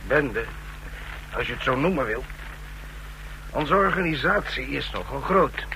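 A mid-pitched male voice a brusque gang jumpmaster. He is curt, sardonic and used to giving orders, in a radio play with clear noise over the recording. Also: lively intonation, unhurried, bright, forceful tone, full character voice. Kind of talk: radio drama acting. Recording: noisy old radio recording with engine rumble.

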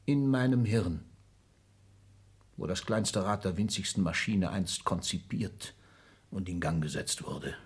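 A proud, ailing old patriarch of industry for a radio play. His voice is low and husky. He declaims in formal, emphatic German stage diction, disillusioned yet used to command. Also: measured pace, pauses often, heavily acted. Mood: stern command. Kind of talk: German radio drama acting.

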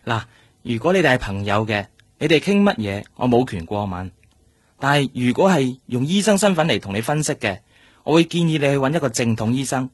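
A man playing a firm, guarded senior doctor in a radio drama, measured and admonishing, in Hong Kong Cantonese. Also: medium pitch, sing-song, no room sound. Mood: cautioning, firm.

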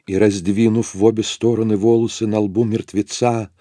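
A man narrating a literary audio drama in a measured, solemn storytelling manner. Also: fairly low pitch, little pitch movement, dark tone, no room sound, clean studio sound.

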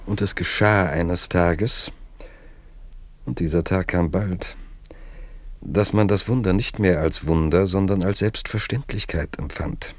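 An actor narrating a radio drama as a measured, literary chronicler with dry irony. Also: male voice, deep voice, dry recording. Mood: measured, ironic.

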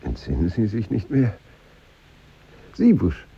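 An actor playing an earnest schoolteacher in a 1950s radio drama, formal, probing and insistent. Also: male voice, lowish voice, huge pitch swings, slow pace, warm tone, full character voice. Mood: polite, concerned. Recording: muffled old radio recording.

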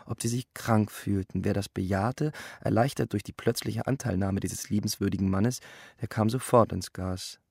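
A man's voice: lowish voice, steady tone, normal speed, vocal fry, a little mellow, husky, no echo, clean studio sound. A man reading literary stage directions as a narrator.

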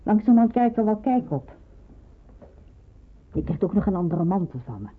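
A woman's voice: mid-range voice, very animated, moderate pace, slightly rough, phone-quality sound. An actress playing a motherly, careful resistance helper, caring and matter-of-fact, in an old radio drama recording. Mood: practical, caring.

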